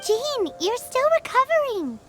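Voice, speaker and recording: Little floating companion, piping childlike squeak, lively intonation, loyal and indignant, game cutscene. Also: female voice, unhurried, over music, heavily acted. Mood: exasperated, worried. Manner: blunt, emphatic, protective.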